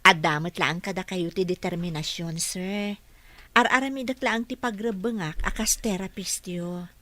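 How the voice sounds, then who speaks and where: A woman's voice: fairly low pitch, moderate pace, fairly bright tone, close to an everyday voice. A woman playing an attentive, encouraging housekeeper and carer in a radio drama, with an Ilocano Filipino accent. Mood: respectful, encouraging.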